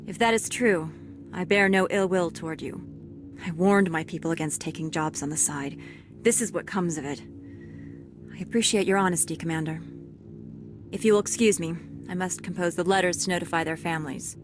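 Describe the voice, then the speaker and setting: An actress playing a stern, fair-minded security captain and ex-marine in a video game, formal, measured and dry. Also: female voice, on the low side, over music, natural voice. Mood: stern, restrained. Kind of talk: scripted video game acting.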